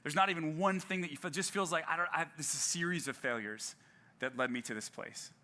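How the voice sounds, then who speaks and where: Male pastor preaching casual, lots of "like"s and restarts, really bright voice. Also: a little high, quick pace. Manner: conversational, with frequent fillers and restarts; self-deprecating humor. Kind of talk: sermon.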